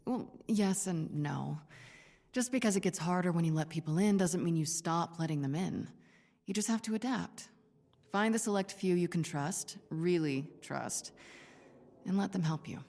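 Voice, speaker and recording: A woman voicing a cool, seasoned spy and mentor in a video game, calm, dry and reassuring. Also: lowish voice, normal speed, in a large hall.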